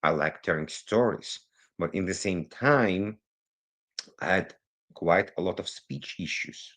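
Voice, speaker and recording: A man with a low voice talks as a guest over a remote call. English is not his first language. He tells his story energetically, sometimes speeding up and drifting off on tangents. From Israel. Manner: animated, rushes through some phrases, digressive. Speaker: guest, cybersecurity consultant. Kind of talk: conversational interview storytelling. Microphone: remote recording, virtual call.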